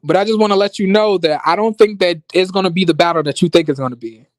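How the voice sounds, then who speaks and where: A man with an American accent chatting casually as a livestream host, in a sassy, expressive, slangy conversational way. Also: high voice, quick pace, no room sound, studio quality. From United States, born in rural Florida and raised in Las Vegas. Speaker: YouTube reviewer and livestream host. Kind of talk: casual livestream chat with viewers.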